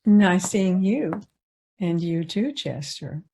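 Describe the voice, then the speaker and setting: An actress playing a calm, worldly, kindly frontier townswoman with a husky voice in a radio western. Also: lowish voice, slow, noisy background. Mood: warm, polite.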